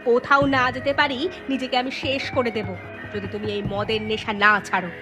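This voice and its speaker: A woman's voice: fairly high pitch, brisk, close to an everyday voice. A woman playing a wronged, defiant wife in a cartoon melodrama, protesting with open emotion. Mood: pleading defiance. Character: aggrieved, defiant wife of a drunkard. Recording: music behind the voice.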